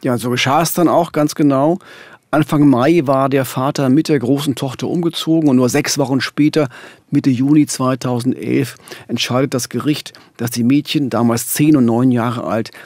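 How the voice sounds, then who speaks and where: A man's voice: mid-range voice, steady tone, normal speed, a little mellow, no room sound, studio quality. A middle-aged man narrating and explaining a true-crime case as a podcast host. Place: dark radio studio. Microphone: studio microphone with headphones.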